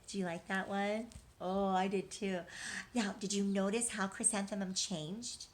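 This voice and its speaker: A woman's voice: medium pitch, steady tone, unhurried. A woman leading an interactive read-aloud and lesson for young children, asking questions and answering them in an encouraging, reassuring way.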